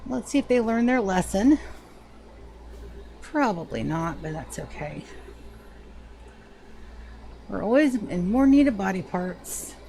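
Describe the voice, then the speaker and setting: A woman's voice: medium pitch, lively intonation, slow, gravelly, long pauses, warm tone, slight background noise, rough recording. A woman with a Georgia accent and a slight lisp, chatting casually to viewers while streaming a game. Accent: Southern US, Georgia. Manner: chatty, greets viewers by name. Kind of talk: casual live gameplay commentary.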